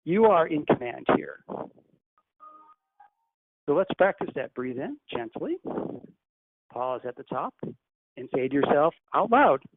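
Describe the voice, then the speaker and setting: A man speaking as a channeled spirit teacher, guiding a breathing meditation in a slow, deliberate, instructive way. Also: a little high, sing-song, husky, dry recording, heavily acted. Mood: guiding, hushed. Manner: slow, deliberate, instructive, softly hushed in exercises. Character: ascended master spirit, calm, commanding teacher. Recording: tinny phone line, faint hiss.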